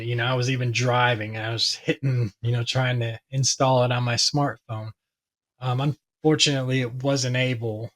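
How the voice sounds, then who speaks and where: A man with a hoarse voice talking casually and ramblingly as he explains a tech topic to his viewers, with many fillers. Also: medium pitch. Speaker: tech video host.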